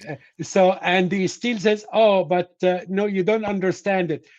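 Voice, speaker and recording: Egyptian man, halting live-stream talk. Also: high voice, steady tone, darkish tone, no echo, dull audio. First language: Arabic. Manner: halting, full of restarts and fillers, storytelling. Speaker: host.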